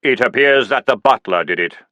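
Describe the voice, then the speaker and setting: A man voicing Death as a calm, dry-witted skeletal figure who speaks slowly and deadpan. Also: medium pitch, no room sound, lo-fi sound. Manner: slow, measured, deadpan.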